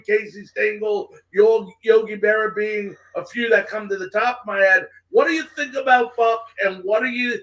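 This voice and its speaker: A man's voice: sits high, a bit bright, rough recording. A man hosting a live sports talk show, speaking with an emphatic, announcer-style delivery.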